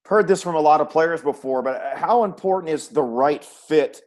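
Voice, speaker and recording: A man's voice: a little high, normal speed. A man who works as a sports broadcaster, hosting a conversational interview and posing questions.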